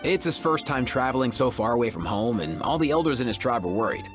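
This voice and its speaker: A woman's voice: very deep voice, sing-song, fast. An actress playing a casual, wry, easily exasperated young woman who is the responsible older companion in a video game. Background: background music.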